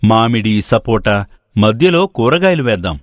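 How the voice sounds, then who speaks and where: A male actor plays a forgiving village farmer from a storybook tale. His voice is on the low side and recorded dry. He speaks calmly, in measured, earnest phrases. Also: dry recording. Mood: resolute, reassuring. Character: hardworking, forgiving elder brother, a calm village farmer. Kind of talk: acted moral-story dialogue.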